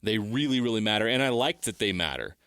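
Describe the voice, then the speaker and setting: A man's voice: on the low side, expressive, fast, fairly bright tone, clean tone, no room sound. A man co-hosting a sports talk show, explaining things casually and repeating words for emphasis, on a close studio mic. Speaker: sports radio co-host. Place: radio studio. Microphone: close studio microphone.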